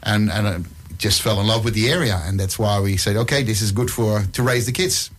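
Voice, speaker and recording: A man's voice: lowish voice, brisk. A middle-aged man with a Dutch accent, a community radio presenter being interviewed about his life, talking casually with self-deprecating humour and many hesitations. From Netherlands.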